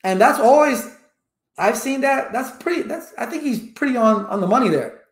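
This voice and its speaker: A man's voice: very high-pitched, normal speed, roomy sound. A man in his fifties, Cuban-born with Spanish as his first language, talking animatedly to viewers from a home office with a webcam. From Cuba. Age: 50s. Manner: animated, gestures a lot, rhetorical questions. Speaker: network engineering educator. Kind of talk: casual livestream talk to viewers. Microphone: webcam at desk.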